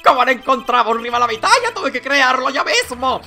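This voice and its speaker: A man's voice: extremely high pitch, sing-song, brisk, creaky, pushed and bright, pitched above the performer's own voice, exaggerated voice. A man voicing a deranged, theatrical detective villain from a video game in a bombastic, exclamatory manner. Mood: manic gloating. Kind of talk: video game dub by a fan reader.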